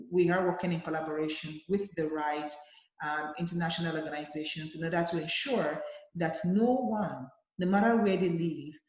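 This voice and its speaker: A woman advocate answering interview questions earnestly, with many fillers and restarts, over a video call. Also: low voice. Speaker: guest, advocacy leader. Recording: roomy, dull lo-fi sound.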